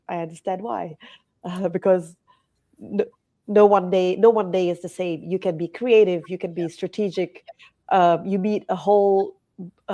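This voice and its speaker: Mellow-voiced woman guest from Malaysia. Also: lowish voice. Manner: chatty, frequent restarts and fillers, laughs often. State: hay fever, a bit nasal. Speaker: hotel company CEO, podcast guest. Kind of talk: casual interview conversation.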